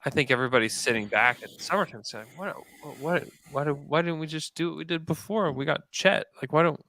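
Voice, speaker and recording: A man's voice: a little high, fairly quick, vocal fry, pushed and bright. A man hosting a sports podcast, arguing his points emphatically in a casual debate.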